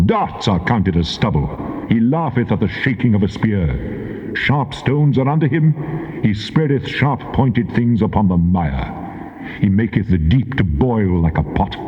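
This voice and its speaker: An actor playing a stern, majestic God, declaiming scripture grandly. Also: male voice, fairly low pitch, mellow. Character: God, stern and majestic male deity proclaiming in wrath. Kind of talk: dramatized scripture reading, theatrical declamation. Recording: dull tape, long cavernous reverb.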